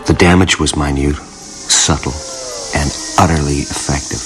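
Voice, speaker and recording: An actor playing a jaded, washed-up hacker, narrating a noir radio drama in a terse, world-weary, brooding manner. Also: male voice, a bass voice, steady tone, measured pace, low-quality recording. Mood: bleak. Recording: music bed under voice.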